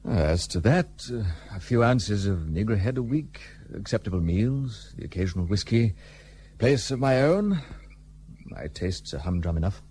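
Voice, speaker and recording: Gruff loner gentleman for a period radio play male actor: low, mellow, curt and formal. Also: expressive, normal speed, a little hiss or hum, lightly acted. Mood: reserved, dry. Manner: curt, dry, formal, old-fashioned. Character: gruff, guarded, well-travelled English gentleman seeking isolation. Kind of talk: period radio drama acting.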